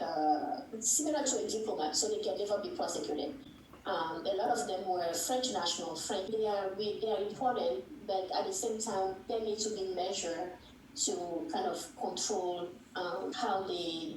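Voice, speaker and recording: A woman in her thirties, originally from Togo, answering interview questions in a measured, reflective way. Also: mid-range voice, darkish tone, slight background noise. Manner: measured, reflective, thinking aloud. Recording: echoey room, distant mic.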